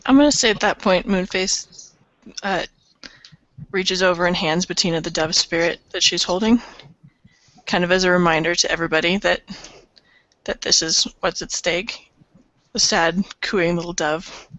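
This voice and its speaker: A woman's voice: fairly low pitch, normal speed, pauses often, fairly bright tone, dry recording, rough recording. A woman narrating her character's actions in a tabletop roleplay, speaking casually.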